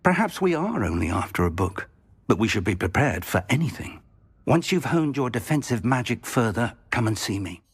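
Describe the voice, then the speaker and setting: A husky old wizard professor. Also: male voice, medium pitch, very animated, moderate pace. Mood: earnest. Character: older male wizard professor, kindly mentor. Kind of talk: game cutscene acting.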